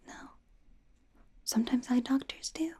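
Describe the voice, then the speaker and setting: A woman playing a friendly, flustered young werewolf doctor in training in an ASMR roleplay, speaking in a soothing, hesitant, breathy whisper. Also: high voice, unhurried, dark tone, heavily acted. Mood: flustered, playful. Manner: soothing, hesitant, rambling, self-correcting.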